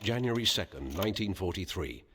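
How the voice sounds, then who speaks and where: An actor narrating a wartime documentary in a grave, formal, measured manner. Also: male voice, low-pitched, expressive, fairly bright tone, husky, heavily acted. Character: grave male war newsreel narrator.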